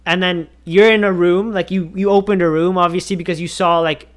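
Man, podcast co-host, high voice, rambling delivery full of fillers. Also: steady tone. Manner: halting, many filler likes and restarts, swearing. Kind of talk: casual chat.

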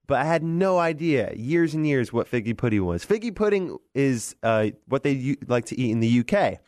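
A man's voice: medium pitch, dry recording. Chill guy on morning radio, jokey, medium voice, dry studio sound. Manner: relaxed, joking. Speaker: radio show co-host. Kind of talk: casual morning radio chat.

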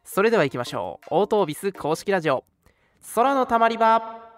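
A man's voice: high voice, sing-song, a little fast, faint music behind. A man hosting a casual live-streamed radio show, chatting in a jokey, teasing way and laughing often.

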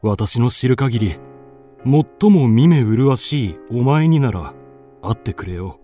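An actor playing a dignified, lovestruck duke in a radio drama, speaking in a lordly, formal, theatrical and earnest way. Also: male voice, mid-range voice, lively intonation, takes it slowly, mellow, faint music behind.

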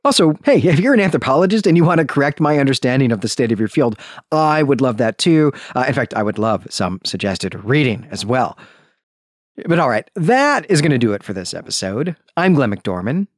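A man's voice: mid-range voice, sing-song, fairly quick, no room sound, clean studio sound. A man hosting a podcast, giving a review in an enthusiastic, emphatic and conversational way. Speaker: podcast host. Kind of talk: book review podcast monologue.

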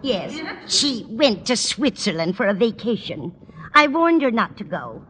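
A woman's voice: a little high, big pitch swings, measured pace, fairly bright tone. A woman playing an anxious, melodramatic older landlady in a 1940s radio comedy. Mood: alarmed. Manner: fretful, melodramatic. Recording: old radio recording, hall reverb, faint noise.

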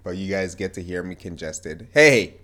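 A man with a somewhat low, congested voice talks through a casual podcast chat. His nose sounds stuffed up, and he keeps a joking, teasing tone. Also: lively intonation, moderate pace, bright, forceful tone. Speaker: podcast co-host.

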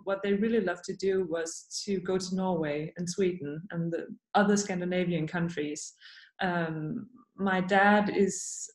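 A woman from Denmark, a podcast guest, speaking thoughtfully with many pauses and fillers. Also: lowish voice, darkish tone, reverberant. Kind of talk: podcast interview.

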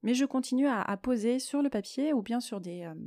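A woman hosting a solo podcast, explaining her personal experience in a reflective monologue with frequent pauses mid-phrase. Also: a little high, lively intonation, mellow, dry recording, clean studio sound. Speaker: podcast host.

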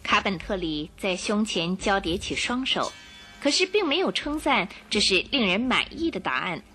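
A woman narrating a radio drama in an expressive, suspenseful storytelling manner. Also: mid-range voice, moderate pace, soft background music.

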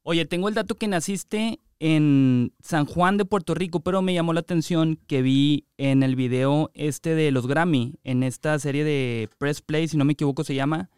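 Mexican man interviewing, smooth clear voice. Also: on the high side, normal speed, few pauses, darkish tone, no room sound, clean studio sound. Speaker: interviewer, host. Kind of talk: casual chat.

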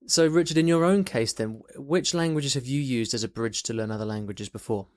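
A man's voice: mid-range voice, fairly quick, dry recording, clean studio sound. A man hosting a podcast interview, recapping a listener question and asking friendly follow-ups. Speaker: podcast host.

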